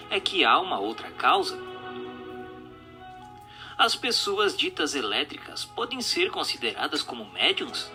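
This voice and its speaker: Bright, animated man narrating. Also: mid-range voice. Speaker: narrator reading a spiritist book. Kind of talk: scripted narration of a question-and-answer text. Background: music.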